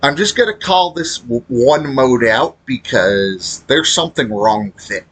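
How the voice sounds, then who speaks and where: A man giving unscripted, casual gaming commentary in a rambling, halting way, often trailing off mid-thought. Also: mid-range voice, animated, a bit bright, slightly rough. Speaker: video creator commenting on a game.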